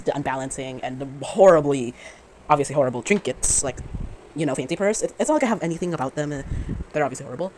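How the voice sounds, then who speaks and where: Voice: medium pitch, poor audio. A fan commentator with a mid-range voice of ambiguous sex delivers an unscripted opinion rant. The delivery rambles and stammers, doubling back to correct itself with dry sarcasm. Noticeable noise sits behind the amateur recording.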